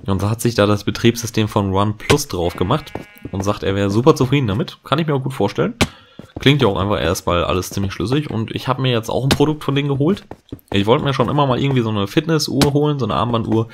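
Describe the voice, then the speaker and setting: A man's voice: medium pitch, animated, quick pace, noisy background. A man chatting casually over gameplay as the host of his let's play, rambling with many fillers and restarts. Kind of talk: casual gaming commentary.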